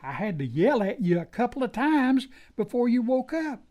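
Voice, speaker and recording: Folksy Old West deputy, American rural drawl, lively swooping pitch, deferential, stammering, radio play. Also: male voice, normal speed. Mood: deferential, chatty. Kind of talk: old-time western radio drama acting.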